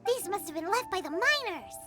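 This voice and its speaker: A woman voicing a tiny, eager female fairy companion who talks in a high squeaky voice and exclaims often. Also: brisk. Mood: excited. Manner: excitable, exclaiming. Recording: game audio with music behind.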